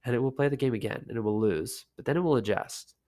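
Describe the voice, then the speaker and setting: A man hosting a casual solo podcast, sick with a lowered, deep voice, talking in a relaxed, rambling way with many fillers. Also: fast talker. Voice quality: deep, low voice. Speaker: podcast host.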